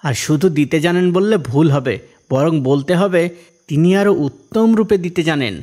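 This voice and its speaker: A man's voice: fairly high pitch, lively intonation, moderate pace, darkish tone. A man voicing a kindly, devout and patient fisherman husband in a cartoon, speaking with assured, explaining manner. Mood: calm reassuring. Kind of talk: animated cartoon dialogue in Bengali. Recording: music behind the voice.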